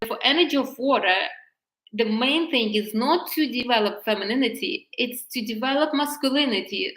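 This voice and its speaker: A woman's voice: a little high, fairly bright tone. A woman coaching on femininity in a casual, chatty way, laughing often and restarting her sentences, with English. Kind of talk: casual teaching talk, answering live chat. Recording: roomy echo.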